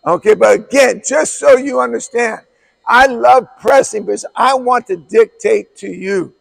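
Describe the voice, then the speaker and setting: Middle-aged man coach, hoarse, raspy and gravelly, blunt and emphatic clinic delivery. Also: very high-pitched, measured pace, rough recording. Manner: blunt, emphatic, repeats key words. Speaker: basketball coach.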